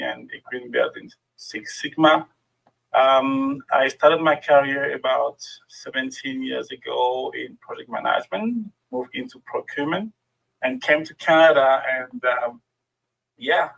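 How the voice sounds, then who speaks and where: A man's voice: medium pitch, creaky, fairly bright tone, poor audio. A middle-aged man with a French accent and French as his first language, giving a friendly workshop presentation over a video call with many fillers. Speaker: guest speaker.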